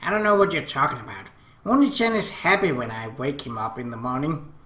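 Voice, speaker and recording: A man's voice: medium pitch, animated, a little fast, pushed and bright. A man voicing a bratty, clingy younger sister in an anime visual novel, whiny and demanding. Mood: smug, teasing. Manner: whiny, demanding, refers to self by name. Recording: roomy echo.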